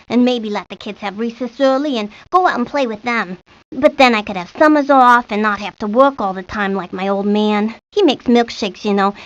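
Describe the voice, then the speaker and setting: A woman's voice: on the high side, close to an everyday voice. A woman voicing a boastful, bossy eight-year-old girl with brash, slangy talk in a cartoon video game. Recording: muffled lo-fi game audio.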